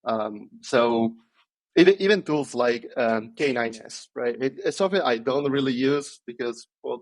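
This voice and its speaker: A man, answering interview questions in a thoughtful, hesitant way with many pauses and restarts. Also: mid-range voice, rough recording. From Italy. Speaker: podcast guest, software engineer.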